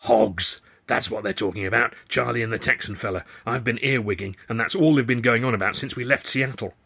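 A man's voice: mid-range voice, a little fast, creaky, pushed and bright, slightly rough, heavily acted. A man doing a cheeky gossiping young man. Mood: eager gossip. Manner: eager, conspiratorial.

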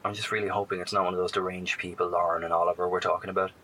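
A man playing a wary, kind survivor in a post-apocalyptic horror audio drama, speaking in a hesitant, measured, reassuring way. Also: low voice, level tone, sharp tone, a little hiss or hum. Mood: uneasy. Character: wary, kind male survivor scouting the wasteland by radio. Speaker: actor.

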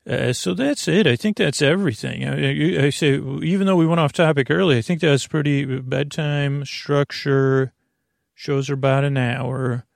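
A man with a gravelly, creaky voice rambles through a bedtime podcast, circling back and interrupting himself with soft jokes. The sound is dry and close, with no room around it. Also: a little high, normal speed, no echo. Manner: meandering, self-interrupting, gently joking. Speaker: sleep podcast host. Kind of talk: rambling casual monologue.

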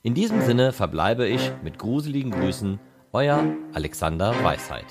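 A man's voice: mid-range voice, sing-song, normal speed, over loud music. A man hosting a podcast, reading out scripted previews and announcements. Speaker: podcast host.

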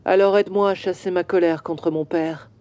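A woman voicing a grieving male bronze statue in a children's audio story, slow and wistful. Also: fairly low pitch, fairly even intonation, a little mellow, dry recording, lightly acted. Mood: sorrowful.

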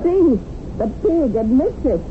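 An actress playing a fierce, humorless young revolutionary kidnapper in a radio drama, declaiming in stilted slogans. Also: female voice, high-pitched, sing-song, slow, full character voice. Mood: accusing, declamatory. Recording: very noisy, tinny old radio broadcast.

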